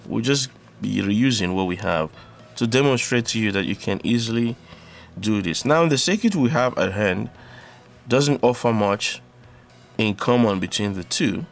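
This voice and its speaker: A man presenting an unscripted electronics tutorial, explaining step by step in a halting way. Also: lowish voice. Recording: clearly audible background noise.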